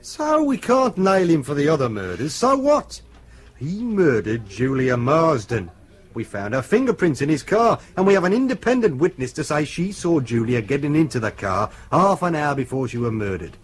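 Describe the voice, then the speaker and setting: An animated, matter-of-fact detective. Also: male voice, a little high, normal speed. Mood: insistent. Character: matter-of-fact police detective, the junior partner. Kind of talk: radio drama acting.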